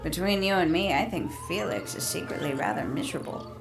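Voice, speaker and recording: A woman's voice: on the low side, expressive, brisk, vocal fry. A woman voicing a prim, chatty eccentric woman in a video game, speaking in a gossipy, slightly scatterbrained way. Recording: game audio with music underneath.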